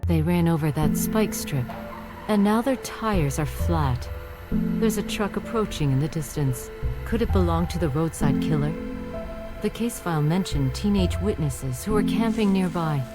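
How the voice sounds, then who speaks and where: An actress playing a composed, concerned aunt who narrates a mystery video game in the first person. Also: female voice, fairly low pitch, animated, moderate pace, close to an everyday voice. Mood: wary, thoughtful. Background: prominent background music.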